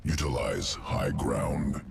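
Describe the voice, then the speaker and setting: An actor playing an inhuman insectoid evolution master in a video game, clinical and detached, speaking in clipped, guttural phrases. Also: male voice, a bass voice, lively intonation, noisy background, full character voice. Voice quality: gravelly, guttural. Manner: clipped telegraphic phrases without articles, deliberate. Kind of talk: video game character dialogue.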